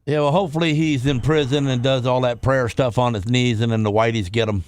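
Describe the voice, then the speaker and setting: A man's voice: medium pitch, steady tone, brisk. A man as a talk show sidekick, chiming in informally with short, casual reactions. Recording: very noisy background with clicks.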